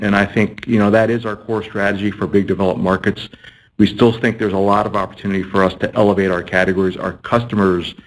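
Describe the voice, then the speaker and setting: A man's voice: on the low side, poor audio. A man, a company executive, answering analyst questions on an earnings call and often repeating words as he thinks.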